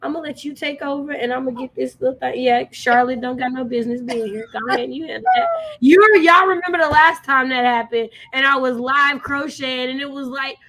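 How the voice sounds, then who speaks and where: An American woman co-hosting a book livestream, chatting casually and telling stories in an animated, dramatic, slangy way. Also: high-pitched, a little fast. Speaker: co-host of a book discussion livestream. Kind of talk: casual chat.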